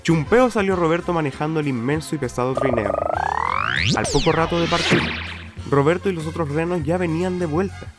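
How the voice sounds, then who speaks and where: A man narrates a radio story in a colloquial way. He has a Chilean accent and a slightly high voice. Music plays clearly underneath. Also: a little fast.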